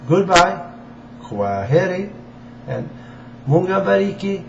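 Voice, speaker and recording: A man from Sweden with a Swedish accent gives an informal political address in halting, deliberate non-native English. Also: high-pitched, sing-song, creaky. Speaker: amateur political campaigner addressing viewers. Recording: dull, echoey room with faint hiss.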